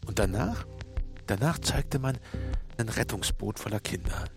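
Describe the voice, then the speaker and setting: An actor playing a weary, bitter party member in a radio drama, muttering halting, sardonic confessions to himself with a gravelly voice. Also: male voice, fairly low pitch, lively intonation, faint music behind. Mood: grimly recalling. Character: weary, bitter male party member confiding forbidden thoughts. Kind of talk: intimate German radio drama monologue.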